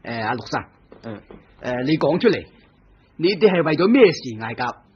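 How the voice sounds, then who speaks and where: An actor playing a stern police inspector who presses suspects hard, in a vintage radio drama with a husky voice. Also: male voice, a little high, sing-song, normal speed, heavily acted. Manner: firm, pressing interrogation. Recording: dull lo-fi old radio recording.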